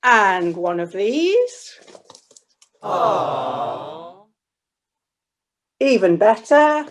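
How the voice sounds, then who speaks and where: Slow, formal woman host. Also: mid-range voice, very animated, slight background noise. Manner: formal, courteous. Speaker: host of a live radio play. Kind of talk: scripted welcome to an audience.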